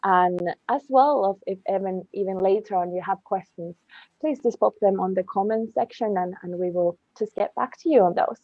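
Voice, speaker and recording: A woman's voice: medium pitch, moderate pace, no echo, muffled recording. A woman hosting a casual podcast interview, speaking in an enthusiastic, rambling way. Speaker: podcast host.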